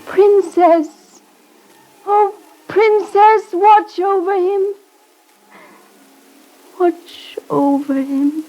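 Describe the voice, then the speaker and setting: A woman's voice: very high voice, very slow, long pauses, dark tone, lo-fi sound, soft background music, full character voice. An actress playing a devoted, anxious young country woman in a 1950s radio play, speaking in a pleading way. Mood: prayerful longing. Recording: vintage radio recording with faint noise.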